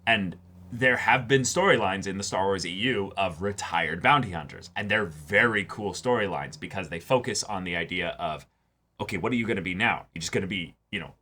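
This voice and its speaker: A man co-hosting a casual discussion of a TV episode, talking in a halting way and often repeating words as he thinks aloud. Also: low voice, pushed and bright, slight background noise. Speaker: co-host of a reaction show.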